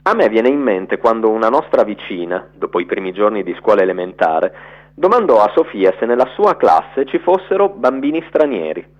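A low-pitched man narrates a literary letter as radio theatre. His delivery is expressive and storyteller-like, swinging widely in pitch. The sound is a little dull, like a radio broadcast. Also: normal speed.

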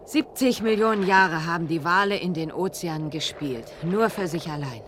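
A man's voice: fairly high pitch, moderate pace, close to an everyday voice. An actor playing a musing, brooding man in a German radio drama, speaking dramatically. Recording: loud water and boat noise behind.

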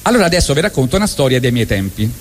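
A middle-aged man from Rome with a Roman accent, telling a wry, deadpan comic story on stage at a live event. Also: mid-range voice, racing, big-room sound. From Rome, Italy. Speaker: monologue performer.

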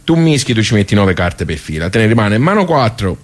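Radio play: grumbling Tuscan social-club old-timer, low voice, halting, nostalgic. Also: male voice, very animated. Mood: rambling, explaining. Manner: rambling, halting, muttering asides. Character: grumbling, simple, nostalgic ageing regular of a village social club. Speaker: actor in a radio theatre monologue. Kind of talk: colloquial theatrical soliloquy.

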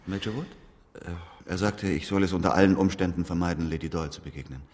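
An actor playing a polite, theatrical clairvoyant with a halting, dramatic delivery in a German radio drama. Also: male voice, low voice, darkish tone, natural voice. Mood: polite, measured.

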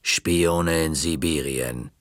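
A man voicing a stern, formidable woman librarian in a story reading, low and slow. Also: over loud music.

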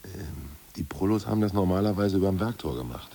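A deep, husky ousted party boss, dry and mocking in a 1980s radio play. His pitch swings widely as he jokes. The voice is dark and raspy. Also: animated, a little fast, full character voice. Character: ousted male party boss, scheming and sarcastic. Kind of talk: 1980s radio drama acting.

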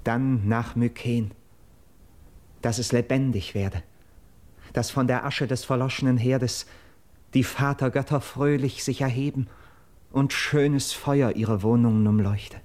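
An actor playing an urgent, persuasive young nobleman of Greek myth in a classical verse radio drama, with declamatory, measured stage diction. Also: mid-range voice, fairly even intonation, a little mellow, close to an everyday voice. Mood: exalted, hopeful.

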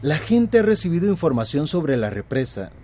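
An actor playing an obliging but condescending company representative in a radio drama, with formal manners. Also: male voice, fairly high pitch, big pitch swings, normal speed, a little mellow. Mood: concerned, condescending. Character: smooth-talking, condescending male company envoy.

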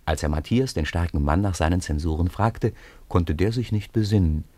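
Male storyteller, low voice, dry close sound, narrating children's radio drama. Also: moderate pace, mellow, no room sound.

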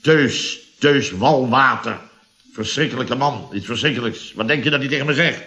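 Actor as a blustery, sharp-voiced grumbling contractor. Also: male voice, medium pitch, normal speed, lightly acted. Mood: indignant. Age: middle-aged. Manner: flustered, stammering, repetitive, complaining. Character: blustery, self-pitying middle-aged building contractor full of aches. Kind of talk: radio comedy acting.

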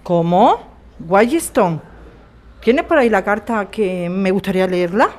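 A woman's voice: medium pitch, expressive, unhurried, lightly acted. A woman acts out a neighbour in a radio drama. She is worried and urgent, speaking in a mid-range female voice. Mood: shocked, urgent.

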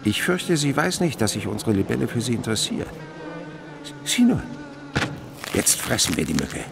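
An actor playing an excitable older father and insect researcher in a radio play, exclaiming and dictating his observations didactically. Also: fairly low pitch, normal speed, vocal fry, background noise. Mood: eager, intense. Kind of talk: German radio play acting.

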